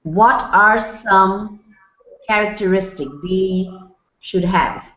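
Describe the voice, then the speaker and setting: A woman's voice: medium pitch, takes it slowly, pushed and bright. Encouraging woman lecturing over a video call. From Bangladesh. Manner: friendly, encouraging, many fillers. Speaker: guest lecturer. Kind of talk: virtual lecture to students. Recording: roomy, dull lo-fi video call.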